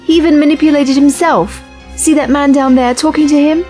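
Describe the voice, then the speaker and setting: Audio drama, actress as betrayed female rebel: high-pitched, intense, pleading, light score behind. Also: steady tone, fairly quick, darkish tone, natural voice. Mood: urgent, pleading. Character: earnest, pleading rebel woman, betrayed former companion. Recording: faint music behind the voice.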